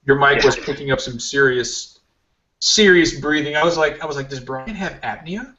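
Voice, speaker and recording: A man's voice: medium pitch, moderate pace, sharp tone, dull audio. A man hosting a casual podcast, rambling and joking about himself. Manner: rambling, self-mocking, joking. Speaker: podcast host. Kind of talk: casual chat.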